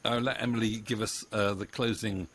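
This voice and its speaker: A man's voice: lowish voice, steady tone, normal speed, a bit bright, a little hiss or hum. A middle-aged British man chatting casually and hesitantly, with a modest, self-deprecating manner, into a close microphone at home. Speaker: audio drama writer and director. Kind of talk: casual interview chat. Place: home room with bookshelves. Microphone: studio mic on boom arm, close.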